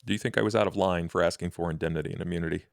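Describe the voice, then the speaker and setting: Man playing deep gravelly vampire schemer, Duke. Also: steady tone, no room sound, studio quality. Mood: grave, musing. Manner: formal, deliberate, ornate phrasing. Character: measured, scheming male vampire named Duke, courtly and world-weary.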